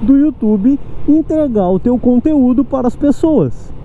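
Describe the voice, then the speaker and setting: A man's voice: very high-pitched, lively intonation, unhurried. A young man with a southern Brazilian accent, talking frankly and rambling as he rides a scooter through city traffic. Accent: southern Brazilian Portuguese. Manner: rambling, frank, self-deprecating. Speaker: motovlogger. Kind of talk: casual riding commentary. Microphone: helmet or action-camera mic. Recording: muffled with light wind and traffic noise.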